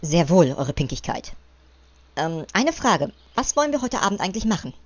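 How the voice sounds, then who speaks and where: A woman's voice: fairly low pitch, very animated, normal speed, dry recording. A woman voicing a servile, dim gnome adviser, hesitant and mock-deferential, in an amateur parody audio play.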